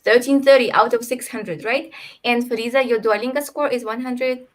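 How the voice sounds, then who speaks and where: A woman's voice: a little high, normal speed. A woman moderating an online panel, asking long, rambling questions with many fillers.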